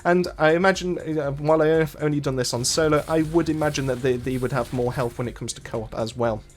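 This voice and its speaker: Fast-talking man narrating a game guide. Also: a little high, steady tone, soft background music. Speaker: gaming channel host. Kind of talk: casual video game walkthrough narration.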